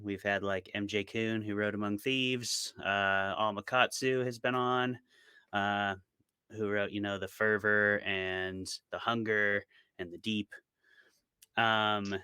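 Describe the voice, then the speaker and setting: A man talks casually as an interview guest, with a low, bright voice. The sound is close and dry, and his explanations are informal and conversational. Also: little pitch movement, slow pace, clean tone, no room sound. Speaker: podcast co-host and author being interviewed.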